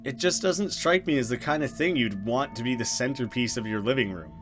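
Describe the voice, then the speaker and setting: A man's voice: mid-range voice, brisk, music in the background, lightly acted. A man voicing a cheeky, blunt young woman, a chatty friend in a visual novel. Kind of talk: visual novel dialogue read aloud.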